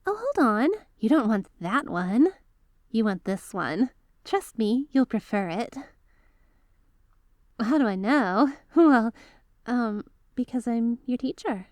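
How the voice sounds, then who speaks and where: A woman's voice: on the high side, expressive, slow, pauses often, darkish tone, no echo, clean studio sound. A woman voicing a yandere veteran magical girl, an obsessive, lovestruck teacher, in an ASMR roleplay audio drama with a coaxing, confiding manner. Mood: coaxing, evasive.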